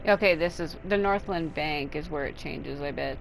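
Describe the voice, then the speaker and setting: A woman's voice: low voice, moderate pace, a little hiss or hum, rough recording. A woman giving casual, unscripted gameplay commentary, thinking aloud in a rambling way and swearing casually. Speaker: video game streamer.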